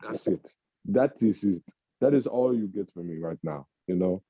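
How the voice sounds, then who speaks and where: A man's voice: low-pitched, expressive, normal speed, dark tone, no room sound, muffled recording. A man co-hosting a casual podcast, chatting loosely and breaking into bits of song. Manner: breaks into off-key singing of song snippets. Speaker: podcast co-host. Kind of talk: casual chat.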